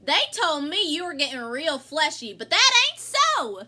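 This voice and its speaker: Chatty country wife, American, played by a woman: high, bright, exclamatory, folksy amateur stage delivery. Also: sing-song, measured pace, heavily acted. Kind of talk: amateur stage-play acting.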